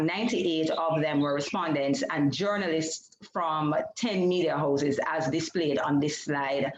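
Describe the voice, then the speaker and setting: A Jamaican woman whose first language is Jamaican Creole, presenting her research over a video call in a measured, explanatory way, with frequent fillers and self-corrections. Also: deep voice, roomy sound, highs cut off. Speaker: PhD candidate presenting research. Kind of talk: academic seminar presentation.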